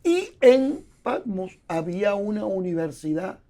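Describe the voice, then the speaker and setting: An older man talks in a high, gravelly voice, with the rasp of fry in his tone. He explains at length, like a teacher in conversation, and piles up examples to make his points. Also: sing-song, measured pace, warm tone. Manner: explanatory, lecturing with examples and repetitions. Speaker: guest, Bible scholar.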